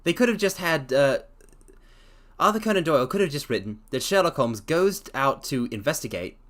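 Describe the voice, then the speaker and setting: A man streaming a dramatic reading of a story, breaking off to chat excitedly, often stammering and restarting his sentences. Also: fairly high pitch, moderate pace.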